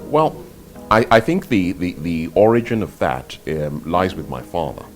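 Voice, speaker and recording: An older man from Nigeria, an author answering interview questions in a measured, articulate way, often repeating words as he thinks. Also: low-pitched, expressive, faint music behind. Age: 50s or older. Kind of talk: reflective interview talk.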